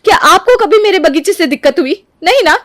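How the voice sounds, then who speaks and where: A woman's voice: very high voice, expressive, a little fast, fairly bright tone, dry recording, studio quality, voice pushed up. A woman voicing a resentful elder sister-in-law, bitter and scheming, in a cartoon family story. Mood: pleading, aggrieved. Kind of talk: animated family story dialogue.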